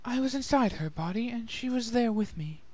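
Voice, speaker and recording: A man's voice: very high-pitched, animated, moderate pace, darkish tone, no room sound, pitched above the performer's own voice. Man voicing a refined, sorrowful young female pony. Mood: sad, reflective. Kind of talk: dramatic fan-fiction reading.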